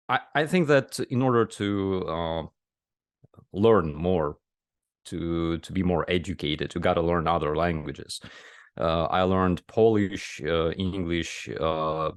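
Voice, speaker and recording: A man from Ukraine with a Ukrainian accent, a soldier speaking English as a second language in a halting interview style. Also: deep voice, smooth, dry recording, studio quality. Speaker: soldier and press officer, guest. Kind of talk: casual interview chat.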